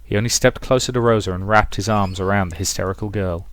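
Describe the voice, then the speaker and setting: A man's voice: lowish voice, fairly even intonation, fast, fairly bright tone. A man reading a visual novel as a dramatic scripted narration, with music underneath. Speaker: let's play narrator.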